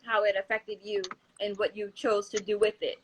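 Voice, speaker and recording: A woman's voice: mid-range voice, level tone, moderate pace, low-quality recording. A young woman hosting a live video stream interview, chatty and upbeat, talking straight to her viewers. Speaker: host, foundation CEO. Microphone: phone on a live video stream.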